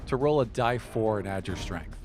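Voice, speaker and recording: A man's voice: fairly low pitch, expressive, normal speed, a little mellow, faint music behind. A man narrating as game master of a tabletop game, in a loose, storytelling way full of casual asides.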